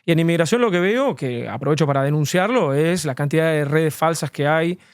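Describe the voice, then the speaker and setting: Guy explaining immigration stuff kinda high pitched, lots of ums, real dry close mic. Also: animated, brisk, smooth, no echo. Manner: earnest, explanatory, with frequent fillers. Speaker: immigration lawyer, guest. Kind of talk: conversational interview answers.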